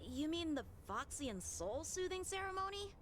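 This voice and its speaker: A woman's voice: very high voice, very animated, normal speed, fairly bright tone, slight background noise, heavily acted. An actress playing a helpful, chatty female guide in a video game, casual and a little hesitant. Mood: helpful, unsure.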